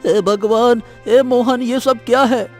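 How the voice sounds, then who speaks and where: A man voices a boastful, greedy village fellow in a cartoon folk tale. He speaks very high and slowly, repeating and tripping over words, with faint music behind. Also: fairly even intonation. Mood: shocked. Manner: stammering, repeating exclamations. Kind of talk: animated Hindi moral story voice acting.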